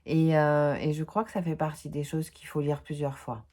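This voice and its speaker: A woman in her forties with a French accent, talking slowly and thoughtfully to her viewers about self-awareness, with many pauses. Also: low voice, little pitch movement, dark tone. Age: 40s. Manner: slow and thoughtful, repeats words, many "euh" pauses. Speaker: video host. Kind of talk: reflective personal development talk.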